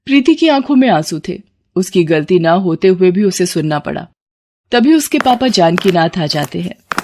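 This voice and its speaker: A woman's voice: fairly low pitch, sing-song, normal speed, darkish tone. A woman storyteller reading a scripted family moral tale as narrator.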